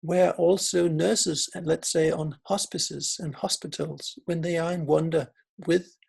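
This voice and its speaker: Man, Danish accent, gravelly and husky, thoughtful conference talk, restarts. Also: on the high side, steady tone, unhurried, darkish tone. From Denmark. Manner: reflective, searching for words, frequent restarts. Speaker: philosopher and researcher.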